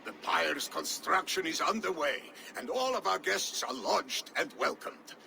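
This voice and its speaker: A man's voice: a little high, lively intonation, a little slow, vocal fry, pushed and bright, a little hiss or hum, full character voice. An actor playing a loyal, dutiful male steward with a dry wit in a game cutscene. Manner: earnest, dutiful, dryly wry.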